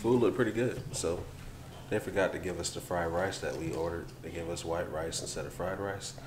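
Male American vlogger, lowish voice, relaxed casual chat to camera, noisy location sound. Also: a little fast. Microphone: handheld camera at arm's length.

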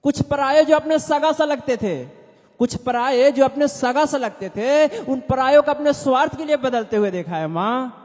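A man's voice: very high voice, normal speed, darkish tone, in a large hall. A young man reciting his poems on an open mic stage, declaiming refrains with rising and falling emphasis into a stand mic. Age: young adult. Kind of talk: reciting Hindi poetry on stage. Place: indoor event stage before an audience.

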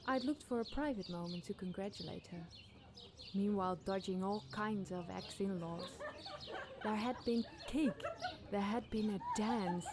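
A woman reading a scripted scary campfire story as a podcast storyteller. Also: mid-range voice.

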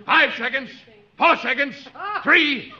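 High-voiced businesslike studio countdown man. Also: takes it slowly, sharp tone, exaggerated voice. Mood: businesslike, urgent. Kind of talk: 1940s radio comedy acting. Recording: muffled old radio recording, crowd behind.

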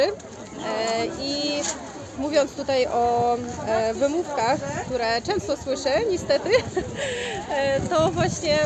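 A woman giving a spontaneous interview answer, hesitant and searching for words. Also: high voice. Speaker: volunteer organisation representative. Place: outdoor volunteering fair in a park. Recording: busy outdoor fair crowd noise.

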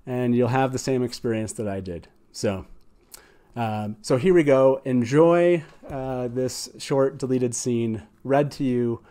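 A man in his forties hosts a show in a casual, conversational way. His voice sits at an average male pitch, picked up by a studio mic. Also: slow pace, warm tone. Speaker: show host. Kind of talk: casual talk introducing a reading. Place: small wood-panelled studio. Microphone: close studio microphone on boom arm.

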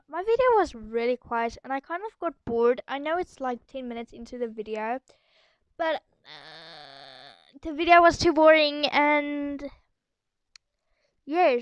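A woman's voice: high voice, no room sound. A young girl doing a casual, chatty and rambling gaming voiceover as the host of the video. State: sore throat. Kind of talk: casual game commentary voiceover. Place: echoey room at home, voice-over.

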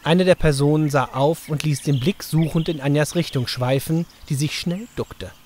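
A man's voice: a little high, normal speed, darkish tone, slight background noise. A man narrating a scripted story for children as its storyteller. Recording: music under the voice. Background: music.

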